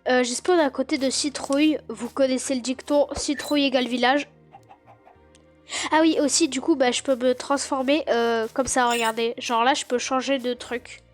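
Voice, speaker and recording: A woman with a stuffy cold, chatting excitedly to her viewers as she plays and comments on a game. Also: high-pitched, moderate pace, faint music behind. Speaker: gaming YouTuber. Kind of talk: casual gameplay commentary.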